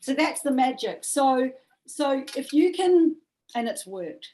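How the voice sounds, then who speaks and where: A woman's voice: sits high, sing-song, slow pace, a little mellow, slight background noise, poor audio. A middle-aged woman from New Zealand with a strong Kiwi accent, chatting earnestly as a music manager in an interview. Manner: chatty, earnest, repeats words and adds "right?". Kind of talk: casual interview chat.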